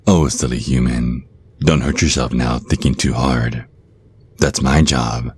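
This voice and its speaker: A man acts out a predatory vampire stage magician for an ASMR roleplay. The voice is exceptionally deep and unhurried. He is hypnotic, smug and domineering, taunting the listener with suave assurance. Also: steady tone, a little hiss or hum. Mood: teasing.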